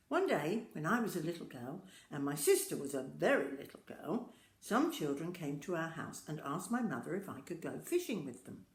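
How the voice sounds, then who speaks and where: A woman's voice: low voice, a little mellow. An older woman reading a children's story aloud, speaking directly and expressively to young listeners. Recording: roomy, echoing home-style recording.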